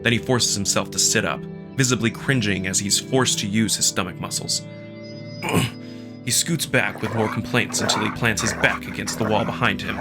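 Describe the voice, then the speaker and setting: A man reading first-person fiction as a narrator, in an expressive, dramatic storytelling style. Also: lowish voice, normal speed. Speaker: narrator and performer of a visual novel. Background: music.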